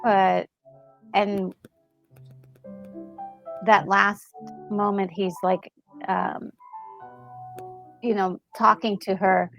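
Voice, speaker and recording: Slow, creaky-voiced woman chatting. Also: medium pitch, little pitch movement, fairly bright tone, dull audio, soft background music. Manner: halting, searching for words. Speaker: gaming co-host. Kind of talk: casual reaction chat.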